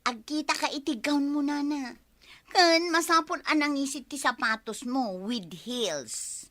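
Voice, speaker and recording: A woman's voice: high-pitched, animated, unhurried, fairly bright tone. A woman playing a boastful, strong-willed village wife in a radio comedy drama, brash and emphatic, with an Ilocano accent. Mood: bossy.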